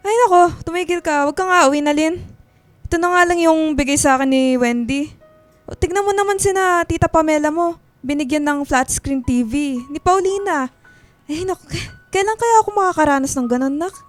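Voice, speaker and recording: Woman acting as whiny middle aged filipino mom, high pitched, guilt trips her kids, radio drama. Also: brisk, dry recording, soft background music, close to an everyday voice. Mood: bitter, reproachful. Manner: whiny, reproachful. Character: demanding, guilt-tripping mother of grown daughters.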